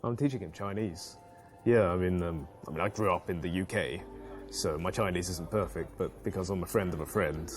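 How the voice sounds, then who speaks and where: A man's voice: low-pitched, brisk, lightly acted. A man playing a young suitor who chats up a woman with friendly, hesitant small talk. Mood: eager. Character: young male suitor, chatty and eager. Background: background music.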